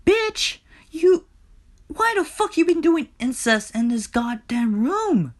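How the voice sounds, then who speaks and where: A furious god of the underworld acted out by a man in a comic retelling, pitched up from ordinary talk. He swears constantly and hammers his threats home by repeating them. The menace is blunt and exasperated. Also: big pitch swings, moderate pace. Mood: enraged, exasperated. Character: enraged, foul-mouthed male god of the underworld, menacing.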